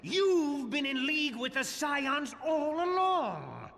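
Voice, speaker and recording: An actor playing an imperious, self-righteous council schemer in a video game, commanding and indignant in manner. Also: male voice, very high voice, sing-song, takes it slowly, vocal fry, a bit bright, exaggerated voice. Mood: outraged. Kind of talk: dramatic video game cutscene acting. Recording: reverberant hall, faint background noise.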